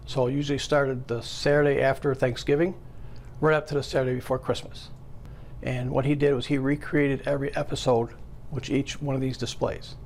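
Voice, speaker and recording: A man's voice: mid-range voice, a little mellow, slight background noise. A man in his fifties casually reminiscing as an interviewee. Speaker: interviewee, collector's son.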